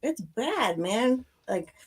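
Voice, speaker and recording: A woman guest with a nasally voice, chatting casually and excitedly. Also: fairly high pitch, drawn-out words, slightly rough. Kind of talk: casual chat.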